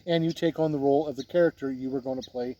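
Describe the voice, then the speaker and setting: A man giving an unhurried, deliberate review talk to camera, pausing often mid-sentence. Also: fairly high pitch, fairly even intonation, dark tone, muffled recording. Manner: deliberate, halting delivery with pauses mid-sentence. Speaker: tabletop game reviewer. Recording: windy noise, outdoor-sounding ambience. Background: wind noise.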